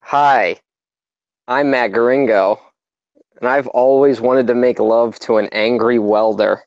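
A man co-hosts a movie podcast in a relaxed, rambling way at mid pitch. His mic sounds dry and a little dull. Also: measured pace, dry recording. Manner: laid-back, lots of "like", laughs easily, swears. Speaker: podcast co-host. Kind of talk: casual movie podcast chat.

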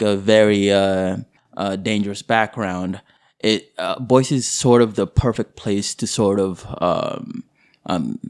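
A young man from Kenya whose first language is Kizigua, a guest talking in a relaxed, unhurried way with many fillers. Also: lowish voice. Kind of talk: casual interview.

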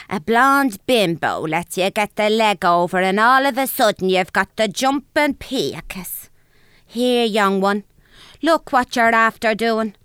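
A woman's voice: on the high side, a bit bright, smooth, no room sound, studio quality. A woman acting a scornful, crude older mother in an Irish comedy audio drama, brash and mocking with a Dublin accent.

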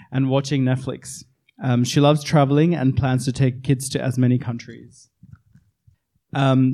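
A man's voice: mid-range voice, dark tone. A man hosting a storytelling night, introducing the next speaker with a light joke and a few ums. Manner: light joking, with filler ums. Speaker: event host introducing speakers.

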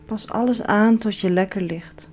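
A woman leading a guided relaxation as a yoga instructor, giving soothing, measured instructions with pauses. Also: mid-range voice, a little mellow. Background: background music.

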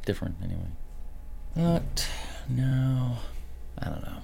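A man's voice: mid-range voice, very animated, measured pace, creaky, warm tone, a little hiss or hum, full character voice. An actor playing a resentful young male assistant in a satirical audio drama, given to mumbling and ranting. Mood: rambling.

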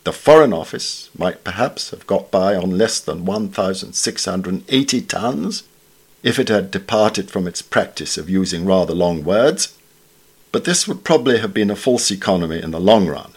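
A man reading literary essays from a script in a formal, measured manner. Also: sits low, animated, breathy edge. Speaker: reader of newspaper essays.

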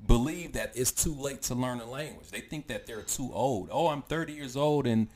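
A man in his thirties chatting casually and haltingly, often repeating his phrases as he goes. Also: medium pitch, darkish tone. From United States. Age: 30s. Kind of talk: casual chat.

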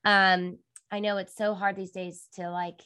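A woman's voice: mid-range voice, flat delivery, measured pace, bright, forceful tone, smooth, dry recording. A woman hosting a podcast interview over a video call, talking in a chatty way with lots of fillers, her voice nasal from a cold. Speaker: podcast host. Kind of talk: casual interview chat.

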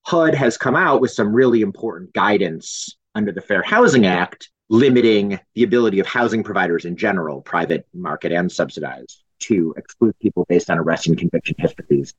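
A man's voice: low-pitched, animated, brisk, few pauses, slightly rough, no echo. A man speaking as an advocate in an interview, recorded in dull lo-fi audio. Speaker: housing justice advocate, interviewee. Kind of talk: interview remarks.

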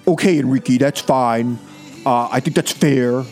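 A man playing a bombastic, boastful American president in a comedic reading, halting and repeating himself. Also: mid-range voice, a little mellow, faint music behind, voice pushed down, close to an everyday voice. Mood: conciliatory. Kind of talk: comedic dramatic reading over music.

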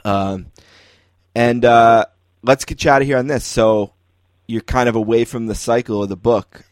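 A man's voice: medium pitch, steady tone, moderate pace, no room sound, studio quality. An American man, middle-aged, hosting a sports podcast with casual, conversational interviewing.

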